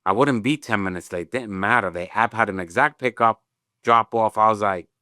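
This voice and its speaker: Man in his fifties from Puerto Rico, Spanish as his first language; smooth, crisp, lowish voice, relaxed reflective storyteller. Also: normal speed, dry recording, clean studio sound. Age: 50s. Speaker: tech company CEO guest. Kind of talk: casual interview storytelling.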